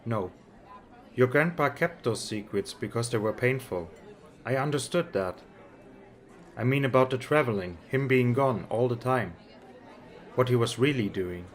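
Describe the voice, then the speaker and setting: A man's voice: mid-range voice, lively intonation, a little slow, long pauses, natural voice. A man playing a weary, resigned middle-aged father in an amateur audiobook drama, speaking frankly in a casual, subdued way. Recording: background crowd chatter.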